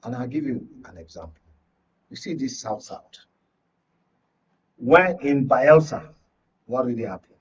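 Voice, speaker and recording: A man's voice: mid-range voice, animated, unhurried, long pauses, slightly rough. A Nigerian-accented man speaking as a guest analyst on a live political panel, emphatic and repeating words for stress. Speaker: studio guest, journalist and media analyst. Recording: muffled, distant-mic broadcast audio.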